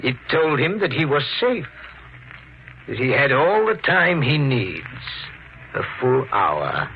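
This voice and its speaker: An actor playing a calm, menacing killer with measured, formal politeness in a 1950s radio thriller. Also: male voice, mid-range voice, slight background noise. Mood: uneasy. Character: calm, menacing male killer posing as a family friend. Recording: muffled vintage radio broadcast with music.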